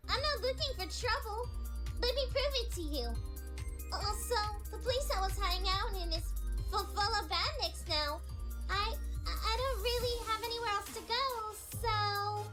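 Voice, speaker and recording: A performer voicing a young, earnest and easily frightened cartoon sidekick in an animated comic dub. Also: extremely high pitch, measured pace. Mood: anxious, pleading. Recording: loud music under the voice.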